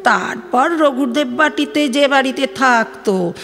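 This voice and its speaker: A woman's voice: sits high, expressive, slow pace, fairly bright tone, full character voice. A woman playing an aggrieved, gossipy middle-aged village mother from West Bengal on the phone in an audio drama, chatty and complaining, with a Bengali accent. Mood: gossiping, scandalised. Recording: long studio reverb, music behind.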